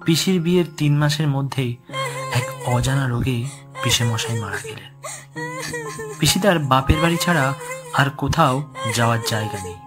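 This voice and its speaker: A man's voice: mid-range voice, expressive, moderate pace, pauses often. A man narrating a horror story in a dramatic, scripted storytelling style. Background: music.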